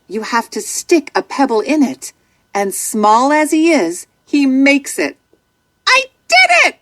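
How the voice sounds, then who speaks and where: A woman narrating a scripted children's story in an expressive, dramatic way. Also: high-pitched, no room sound.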